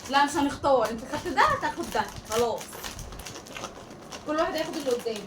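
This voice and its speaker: A woman's voice: high-pitched, expressive, fast. A woman with an Egyptian Arabic accent chatting casually as the host of a family unboxing vlog, addressing viewers and relatives. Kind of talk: casual chat while unboxing toy bags. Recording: distant mic, echoey room, clear background noise.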